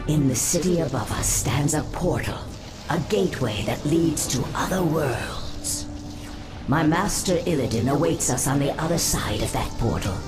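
A woman voicing a devoted, coolly scheming sea-serpent sorceress in a fantasy game, in measured, formal speech. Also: low-pitched, lively intonation. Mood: enticing. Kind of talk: scripted fantasy game acting. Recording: music under the voice.

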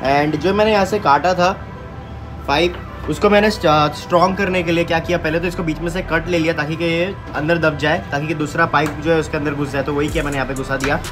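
A young man talks fast through his workshop project, explaining each step to the camera. His voice sits a little high. Music plays clearly beneath him. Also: steady tone. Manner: mixes Hindi with English words, explains step by step. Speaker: vlogger building a custom motorbike. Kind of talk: casual walkthrough explaining work to camera. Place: metal-walled garage workshop, indoors. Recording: music playing under the voice. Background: background music.

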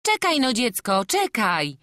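Casting: woman doing a loud, motherly, bossy farmwife, voice pushed up high, very lively. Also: slow pace, dry recording, clean studio sound, full character voice. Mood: fussing. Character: kindly, bossy peasant farmwife.

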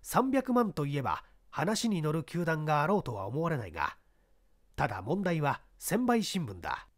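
抑揚豊かな男性の朗読. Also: male voice, a little high, very animated, moderate pace, no room sound, studio quality. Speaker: audiobook narrator. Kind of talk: dramatic scripted narration of a mystery story.

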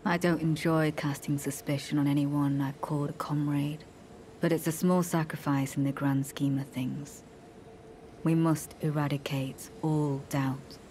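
An actress playing a coolly ruthless, devout woman knight in a fantasy game, speaking formally and with measured solemnity. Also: deep voice, little pitch movement, warm tone, a little hiss or hum. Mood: grave, measured. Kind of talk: scripted fantasy game dialogue.

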